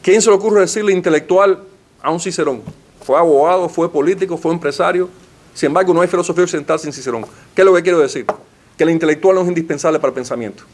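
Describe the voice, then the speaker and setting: A man's voice: a little high, quick pace. A man giving a formal talk as a panelist in a library meeting room. He builds his points through rhetorical questions and lists. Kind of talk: formal lecture.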